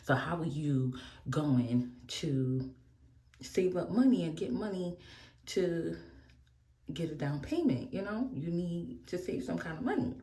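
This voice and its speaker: Woman, 40s, very low voice, candid rambling makeup chat, echoey room. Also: darkish tone. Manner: candid, rambling, laughs often. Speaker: beauty vlogger. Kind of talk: casual get-ready-with-me chat.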